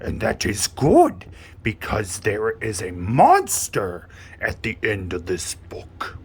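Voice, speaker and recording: A man voicing a lovable, easily frightened furry monster in a children's storybook puppet show, with a raspy voice and melodramatic delivery. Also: lowish voice, wildly swooping pitch, full character voice. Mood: hushed, secretive. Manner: melodramatic, drawn-out, emphatic delivery without contractions.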